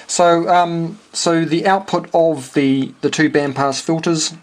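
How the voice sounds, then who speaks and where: A man with a New Zealand accent talking casually and unscripted in a halting, rambling way, as a hobbyist thinking aloud. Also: a little high, fairly even intonation. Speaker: amateur radio operator and homebrew electronics hobbyist. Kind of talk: casual unscripted technical walkthrough.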